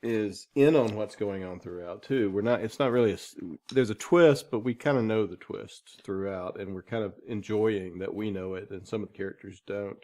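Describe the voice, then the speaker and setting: Male podcast host, slightly deep voice, informal and joking, feeling his way through questions. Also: moderate pace, hardly any pauses, a little mellow. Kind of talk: casual interview chat.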